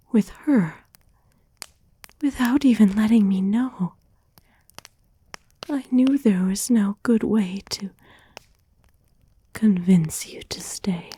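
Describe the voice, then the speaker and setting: Woman voicing a possessive yandere girlfriend, wounded, pleading, intimate ASMR whisper, very breathy, soft dark tone, halting delivery. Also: medium pitch. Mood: bitter, obsessive. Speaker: ASMR voice actor. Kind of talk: whispered ASMR roleplay monologue.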